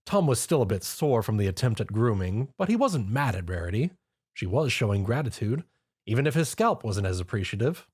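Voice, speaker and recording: A man narrating a fan story in a lively storytelling manner. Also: on the low side, a little fast, slightly rough. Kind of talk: fan fiction reading.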